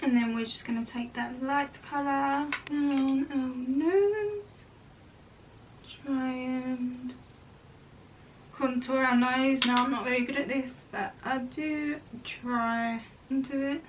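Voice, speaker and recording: A young woman doing a casual makeup tutorial at home, chatty and full of rambling asides, her voice a bit croaky today. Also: sits high, takes it slowly, far from the mic, background noise. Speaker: makeup vlogger. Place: room at home.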